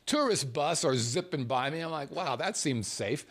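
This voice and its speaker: A man from the United States, a show host, telling a personal anecdote in a casual, animated way and chuckling as he goes. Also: fairly high pitch, moderate pace. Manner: animated storyteller, chuckles through his words.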